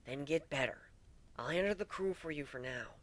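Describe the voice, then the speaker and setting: A man voicing a tough but tender female griffin in a story, soft-spoken, teasing and affectionate. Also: a little high, expressive, moderate pace. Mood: firm, teasing.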